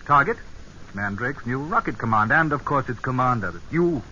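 An urbane British secret agent, persuasive as an old friend, with a very bright, crisp edge to the voice. It comes from a vintage radio play, muffled with faint hiss. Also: male voice, mid-range voice, expressive, normal speed. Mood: earnest, persuasive. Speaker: actor. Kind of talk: old-time radio drama acting.

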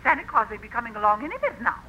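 A woman's voice: a little high, very animated, rapid-fire, bright, forceful tone. A woman playing a scolding, exclaiming mother in a 1940s radio comedy. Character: scolding mother of a young boy. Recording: noisy, muffled vintage radio broadcast.

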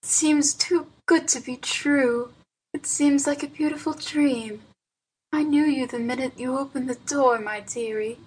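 A woman's voice: high voice, breathy edge, rough recording. A woman voicing a frail, tender old woman. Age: older. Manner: soft, slow and murmuring.

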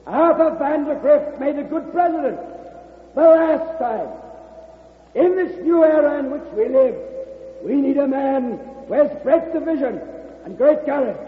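A man acting an eager, folksy party delegate from Tennessee in an old-time radio drama, insistent and emphatic. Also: very high-pitched. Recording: tinny, echoey, noisy old radio broadcast.

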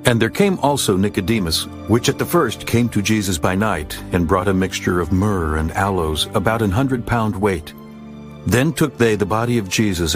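A man narrating scripture in a solemn, steady reading. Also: low voice. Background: soft music.

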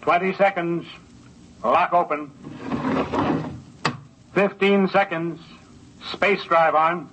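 A man playing a brisk, officious space traffic control operator, clipped and procedural, in 1950s radio drama style. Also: fairly high pitch, fairly even intonation. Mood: tense countdown. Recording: dull old radio, clear engine noise.

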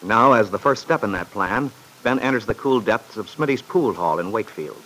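A man's voice: on the low side, lively intonation, moderate pace, pushed and bright, rough recording. A man narrating a classic radio drama from a script.

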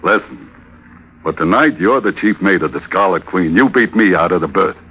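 An actor playing a breezy, sly, scheming sailor in old-time radio drama, cocky, insinuating and easygoing. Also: male voice, low voice, lively intonation, normal speed, slightly rough, heavily acted. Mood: persuasive, amused. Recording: dull vintage radio recording.

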